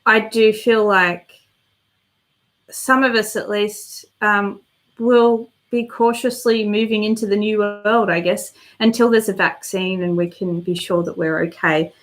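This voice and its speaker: A woman's voice: medium pitch, fairly even intonation, measured pace. A woman hosting a casual live stream and answering viewer comments, chatty and meandering as she thinks aloud, slightly congested with a worn voice.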